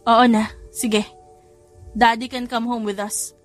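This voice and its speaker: A woman's voice: on the high side, little pitch movement, slow pace, soft background music. A woman voicing a guarded, guilt-ridden young single mother in a romance audio story, with a defensive, emotional delivery. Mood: giving in.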